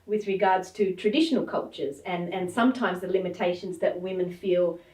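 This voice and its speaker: A woman co-hosting a talk show, asking measured questions that build on what guests have said. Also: fairly low pitch, fairly even intonation, a little mellow. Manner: measured, builds on previous answers. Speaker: co-host. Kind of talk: interviewing guests. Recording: echoey room, distant mic.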